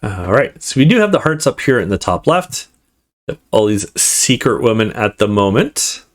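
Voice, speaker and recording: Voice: mid-range voice, big pitch swings, normal speed. A gaming video host giving casual let's play commentary.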